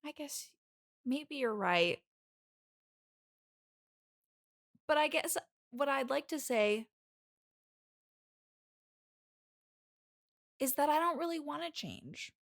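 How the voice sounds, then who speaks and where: A woman's voice: high-pitched, animated, moderate pace, vocal fry. A woman playing a needy, lonely bridesmaid desperate for friends, rambling earnestly as a guest on an improvised comedy podcast. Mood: pleading.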